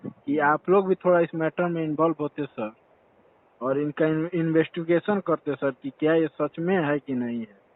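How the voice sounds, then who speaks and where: A man's voice: fairly high pitch, moderate pace. A man phoning in a complaint, deferential and earnest, calling the listener sir again and again. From Bihar, India. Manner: deferential, says sir constantly, earnest and urgent. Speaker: caller reporting a complaint. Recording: tinny phone line.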